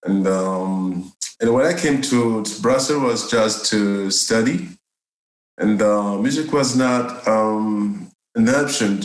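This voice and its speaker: Low-voiced man laughing through his story. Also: slow. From Cameroon. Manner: laughs often, hesitant with many fillers. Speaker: guest, jazz bass player. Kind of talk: casual interview chat. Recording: echoey room.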